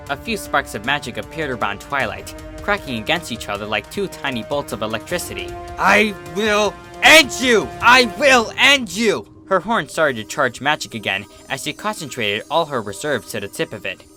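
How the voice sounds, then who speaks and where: A man reading a story as narrator, in an expressive, dramatic scripted style. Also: mid-range voice. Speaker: fan fiction narrator.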